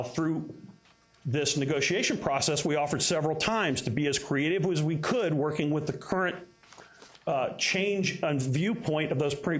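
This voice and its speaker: A man giving firm, fluent, matter-of-fact explanations as a union representative at a public council meeting. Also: on the high side.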